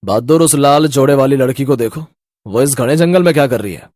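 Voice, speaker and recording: A man's voice: mid-range voice, a little fast, darkish tone, dry recording, clean studio sound, close to an everyday voice. A man voicing a brave, determined police inspector in a horror cartoon, firm and resolute. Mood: curious, resolute. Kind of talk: Hindi horror cartoon voice acting.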